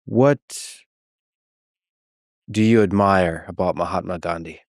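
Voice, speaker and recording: A man hosting a podcast, asking an interview guest thoughtful, deliberate questions. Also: low voice, dry recording, studio quality. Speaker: podcast host.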